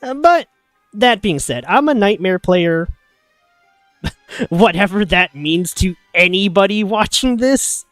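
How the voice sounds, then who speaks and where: A man's voice: sits high, animated, takes it slowly, a bit bright, no echo, faint music behind. A man streaming a casual game breakdown, sniffling as he comes down with a cold, swearing and laughing often.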